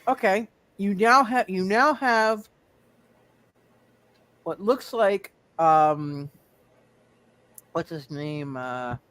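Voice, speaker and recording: A man acts as game master in a superhero roleplay session. His voice sits a little high and is recorded dry. He restarts and repeats phrases as he works out the rules aloud. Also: drawn-out words, pauses often, fairly bright tone, no room sound.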